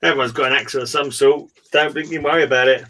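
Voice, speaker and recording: A man's voice: mid-range voice, steady tone, fast, sharp tone. A British man chatting casually and informally as host of a comics hangout. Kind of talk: casual chat.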